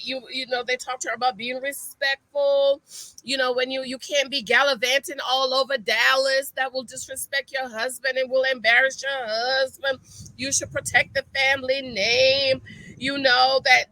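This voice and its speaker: A woman casually recapping a reality show from home, chatty and animated, acting out the gasps of the people she describes. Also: high voice, dry recording, poor audio. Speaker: reaction vlogger. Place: at home, street outside audible.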